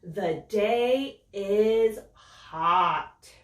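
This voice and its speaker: A woman reading a picture book aloud to children in a slow, expressive, sing-song storytelling voice. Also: mid-range voice, distant mic. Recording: roomy echo, voice set back from the mic.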